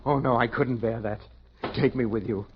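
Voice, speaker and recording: Vintage radio drama, dull band, music underneath: male actor as tormented man convinced he has died, guilt-racked, melodramatic, imploring, medium pitch. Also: fairly even intonation, normal speed, slight background noise, close to an everyday voice. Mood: anguished. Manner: melodramatic, pleading. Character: anguished guilty man convinced he is a dead soul. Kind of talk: 1950s radio drama acting, narrating and in dialogue. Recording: muffled vintage radio recording, music behind.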